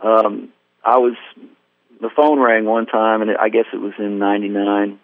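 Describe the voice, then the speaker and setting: A man's voice: fairly low pitch, level tone, moderate pace, no room sound. Man telling a story over a phone line. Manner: rambling, self-deprecating, acts out quoted dialogue. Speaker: guest musician. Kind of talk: casual storytelling interview. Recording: dry tinny phone line.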